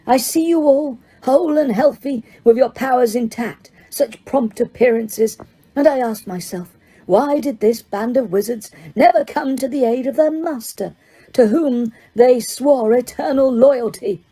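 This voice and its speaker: A woman voicing a cold, slow, menacing dark lord. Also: high-pitched, slightly rough, pitched above the performer's own voice.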